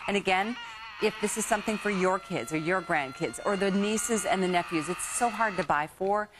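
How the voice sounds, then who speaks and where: A woman hosting a home shopping show, chatting in a gushing, enthusiastic way as she pitches products live. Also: normal speed, background noise. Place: TV shopping studio. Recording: music behind the voice.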